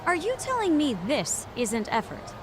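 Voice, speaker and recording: A woman's voice: sits high, wildly swooping pitch, moderate pace, background noise. A woman playing a candid, direct colleague with some authority in an English game dub. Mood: teasing, indignant.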